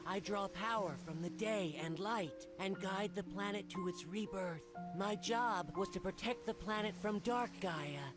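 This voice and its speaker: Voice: mid-range voice, a little slow, hardly any pauses, close to an everyday voice. An actor voicing a small, childlike guardian spirit from a video game, earnest and hesitant in delivery. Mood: solemn, earnest. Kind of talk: animated video game cutscene acting. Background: game music under the voice.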